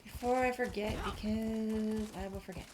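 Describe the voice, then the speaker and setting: A woman's voice: mid-range voice, fairly even intonation, normal speed, darkish tone. A woman giving casual game walkthrough commentary, playfully thinking aloud and chatting to herself and the game. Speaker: gameplay video host. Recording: clear background noise, game sounds.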